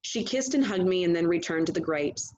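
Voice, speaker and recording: A woman reads a story aloud with expressive narration. Her voice sits on the low side. The recording sounds slightly dull and lo-fi. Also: fairly quick, a little hiss or hum. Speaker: read-aloud reader.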